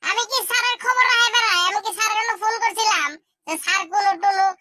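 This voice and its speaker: A woman's voice: extremely high pitch, fast, noisy background. A woman voicing a small, quarrelsome, grumbling schoolboy in a comedy cartoon. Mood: aggrieved. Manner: grumbling, indignant. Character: squeaky, aggrieved, quarrelsome little schoolboy. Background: loud music.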